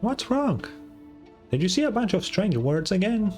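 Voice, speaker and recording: A man voicing a small, chatty, excitable fairy companion, reading out video game dialogue in a chirpy, questioning way. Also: high voice, moderate pace, soft background music. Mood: curious, chatty.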